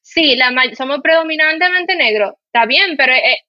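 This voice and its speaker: A woman's voice: high-pitched, moderate pace, dry recording, lo-fi sound. A woman from the Dominican Republic with a mild Dominican Spanish accent, hosting a casual podcast and telling indignant stories full of fillers. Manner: animated, indignant, storytelling with many fillers. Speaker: podcast host.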